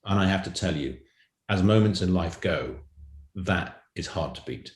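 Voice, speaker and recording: A man's voice: low voice, animated, normal speed. A man telling anecdotes as an interview guest on a video call, emphatic and prone to repeating phrases. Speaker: audio drama writer and guest. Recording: roomy, echoing video-call sound.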